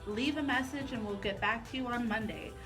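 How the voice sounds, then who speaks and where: A woman's voice: a little high, brisk. A woman co-hosting a talk radio show, presenting in a promotional announcing style. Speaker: radio co-host. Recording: music bed under the voice. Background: music.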